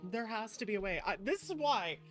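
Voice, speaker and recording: A woman's voice: medium pitch, animated, fairly quick. A woman livestreaming gameplay commentary, emotive and chatting to her viewers, sometimes trailing off. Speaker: VTuber streamer. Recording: loud game music behind voice. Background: video game music.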